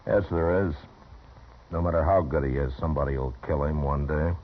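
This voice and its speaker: A man's voice: rumbling low, fairly quick. A man acting a stern, world-weary U.S. marshal in a 1950s radio western, speaking bluntly and with terse command. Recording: muffled, noisy 1950s radio recording.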